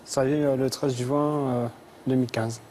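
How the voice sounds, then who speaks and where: A nervous man speaking haltingly as a guest on a TV talk show. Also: medium pitch, steady tone, a little mellow, a little hiss or hum. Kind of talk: studio TV talk show interview. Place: TV studio set.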